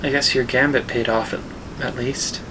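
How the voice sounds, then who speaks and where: A man's voice: steady tone, normal speed, close to an everyday voice. A man playing a reflective, wry explorer in an audio drama. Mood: hesitant, casual. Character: reflective young male explorer narrating his journey. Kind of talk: audio drama acting and first-person narration. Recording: noisy, low rumbling hum behind.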